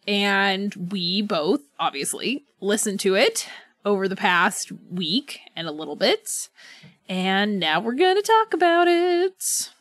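A woman's voice: mid-range voice, pushed and bright, no room sound. A woman co-hosting a podcast in a casual book chat, often breaking her sentences to correct herself and prone to laughter. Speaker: podcast co-host.

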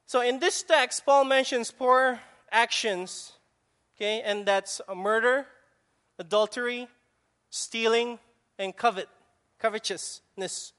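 A male preacher with a Filipino accent delivers a sermon in a noticeably high voice. He stresses his points emphatically, often repeating words and restarting his sentences. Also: steady tone, slow, pauses often, fairly bright tone. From Philippines. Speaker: pastor.

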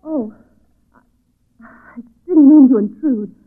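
A polite young heroine of vintage radio drama. Her voice sits a little high, hesitant and full of uneasy curiosity. The sound is narrow and phone-like. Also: slow. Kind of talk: 1940s radio drama acting and inner monologue.

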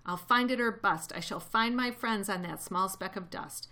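A woman's voice: medium pitch, moderate pace, pushed and bright. A woman voicing a big, kind-hearted, protective storybook elephant in an earnest, emphatic way. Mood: determined. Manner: pleading, emphatic.

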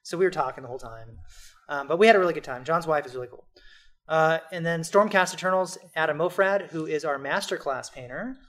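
A man co-hosting a casual gaming podcast, excitable and full of fillers. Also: fairly high pitch. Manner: fast, excitable, many fillers. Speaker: podcast co-host. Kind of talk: casual chat about tabletop gaming.

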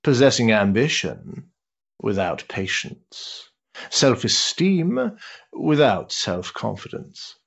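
Man acting cynical aristocratic uncle, period drama, pompous, husky, widely swooping intonation, muffled recording. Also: lowish voice, very animated, slow, a bit bright, heavily acted. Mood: dryly scornful. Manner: pompous, ornate, sardonic phrasing. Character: urbane, cynical older English aristocrat, a duke and uncle. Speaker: actor.

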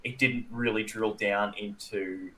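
Lower-pitched Australian man, halting delivery. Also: steady tone, pushed and bright, a little hiss or hum, rough recording. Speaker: podcast co-host. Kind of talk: casual conversational discussion.

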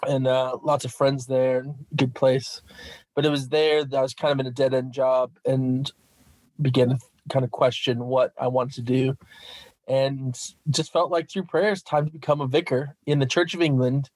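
A middle-aged man from Minnesota with an American Midwest accent, telling his story as a guest on an interview podcast in a lively, self-deprecating way. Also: medium pitch, normal speed, dry recording, low-quality recording. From Minnesota, USA. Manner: animated, self-deprecating, lots of fillers. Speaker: vicar and guest.

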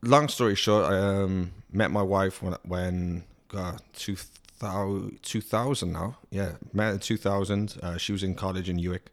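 A man's voice: low voice, steady tone, unhurried, hardly any pauses. A Welsh man from Wales, a car-dealer guest chatting casually on a talk show, with frequent ums and restarts. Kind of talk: casual chat.

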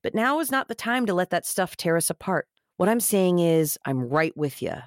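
A woman's voice: lowish voice, big pitch swings, normal speed, no echo, clean studio sound. A woman playing a loyal, wry young man in a podcast script read, speaking casually. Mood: placating. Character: young man, loyal peacemaker friend, wry, feeling overlooked.

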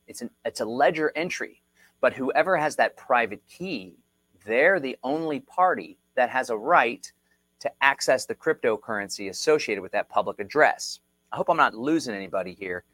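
A man in his thirties with a Texan drawl runs a live online tutorial. He talks in an energetic, conversational way and reads viewer comments aloud as he goes. His voice sits in a middle pitch, and the sound is dry with no room echo. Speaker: crypto instructor.

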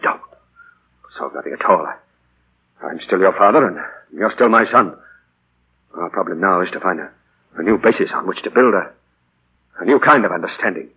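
A man's voice: low-pitched, expressive, normal speed, pauses often, husky, full character voice. An actor playing a dignified, earnest middle-aged father hurt by his grown son, in 1940s radio style. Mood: wounded, stern. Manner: earnest, formal, emotional. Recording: muffled 1940 radio broadcast, music.

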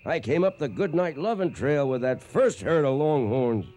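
Actor as a folksy old Western trail boss, lively. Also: male voice, on the high side, normal speed, lightly acted. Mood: reminiscing. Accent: American Western. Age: older. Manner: folksy, rustic cowboy speech. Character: weather-beaten old male trail boss spinning yarns. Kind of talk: radio western acting, storytelling narration.

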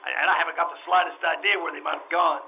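A man's voice: fairly high pitch, level tone, racing, bright, forceful tone, highs cut off. A man playing a flustered, folksy animal-show owner in old-time radio drama style, stammering and rambling as he repeats himself. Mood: earnest, chatty. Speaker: stage actor in radio troupe. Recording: faint noise, live radio re-enactment.

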